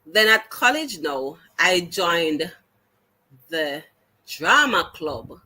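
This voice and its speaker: A woman's voice: on the low side, sing-song, bright, forceful tone, rough recording. A woman with a Jamaican accent, a teacher telling her story as an interview guest, earnest and full of restarts. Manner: earnest, halting restarts, slips into patois.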